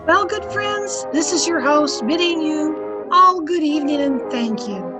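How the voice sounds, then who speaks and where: A woman's voice: high voice, unhurried. A woman host reading scripted closing credits in the style of an old-time radio announcer. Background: music.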